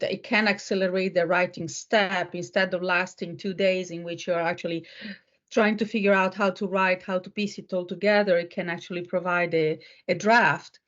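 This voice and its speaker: A woman talks in a conversational, professional way at a middling pitch. She is reflective and a little halting, reworking her phrasing. The recording sounds dull and band-limited. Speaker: panel discussion participant.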